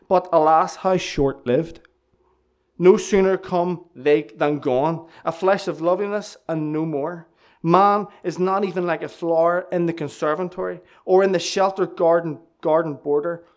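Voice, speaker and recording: A man's voice: a little high, a little mellow. A young man, giving an earnest church testimony and sermon that repeats key lines for emphasis. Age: 20s. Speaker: lay preacher giving testimony.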